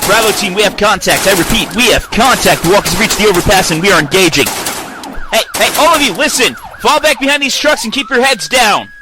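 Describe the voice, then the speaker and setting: A man acts out a harried squad leader in a zombie radio drama. His high voice swings widely as he barks urgent, stammered orders. Loud gunfire and sirens crowd the noisy recording. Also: expressive, brisk. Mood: tense, commanding. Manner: urgent, barking orders, stammering under fire. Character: harried military squad leader battling the undead. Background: gunfire, machine guns, siren.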